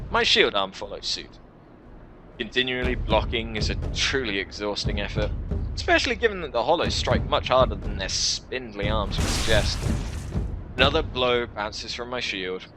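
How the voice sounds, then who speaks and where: A man's voice: on the low side, background noise. A man hosting a gaming video, reading visual novel text aloud with dramatic narration and wry, incredulous asides.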